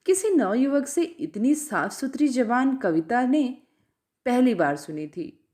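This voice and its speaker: Female storyteller for literary audiobook narration: higher voice, very animated intonation, measured phrasing. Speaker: storyteller reading literary fiction aloud.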